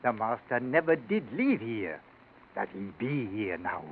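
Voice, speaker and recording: An actor playing an old, grumbling yet deferential Yorkshire servant in a 1940s radio drama, in hesitant dialect. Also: male voice, medium pitch, sing-song, a little slow. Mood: uneasy. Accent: Yorkshire English. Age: older. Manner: dialect speech, hesitant stammering. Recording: tinny, noisy 1940s radio recording.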